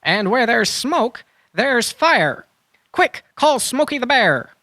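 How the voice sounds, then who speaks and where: A woman's voice: on the high side, very animated, takes it slowly, a bit bright, no room sound, studio quality, full character voice. A woman playing an overconfident, oblivious treasure-hunting hero in a comedic children's audio drama, earnest and upbeat. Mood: clueless, eager. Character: Chattanooga, cheerfully clueless, overconfident treasure-hunting adventurer hero.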